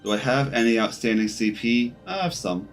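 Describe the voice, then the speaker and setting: A man's voice: medium pitch, expressive, moderate pace. A man giving casual live gameplay commentary as a streamer, rambling and thinking aloud as he goes. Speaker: video game streamer. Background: game music.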